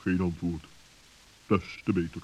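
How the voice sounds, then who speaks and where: A man's voice: low-pitched, little pitch movement, breathy edge, voice pushed down. A man voicing a stern, watchful, self-important owl who muses pompously in a children's radio play. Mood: suspicious, pompous. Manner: pompous, deliberate musing. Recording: dull, muffled old recording.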